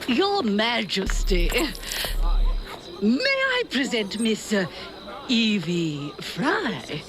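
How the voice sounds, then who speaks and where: An actress playing a flamboyant high-society lady in a video game, effusive and theatrical. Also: very animated, extremely slow, crowd noise, full character voice. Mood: gushing. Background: music.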